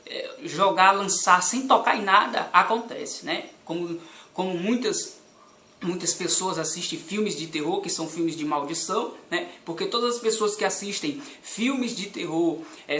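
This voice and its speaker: A young man from Bahia with a Bahian Brazilian accent, a deacon preaching informally and earnestly to camera, repeating his points and building from quiet to fervent. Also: high-pitched. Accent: Bahian Brazilian Portuguese. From Brazil, Salvador, Bahia. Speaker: deacon and evangelist. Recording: echoey room with faint ambience.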